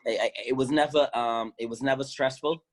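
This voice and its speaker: A man from Barbados in his thirties speaks as an interview guest over a call. He sounds enthusiastic and laughs often, with a voice of ordinary pitch. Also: fairly even intonation, a little fast. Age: 30s. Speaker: guest, former fast bowler.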